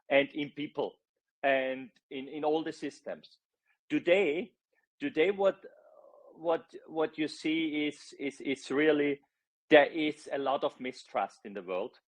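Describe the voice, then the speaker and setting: Earnest higher-voiced man, halting speech. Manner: earnest, searching for words, frequent restarts. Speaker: guest, project founder. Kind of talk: online AMA explanation.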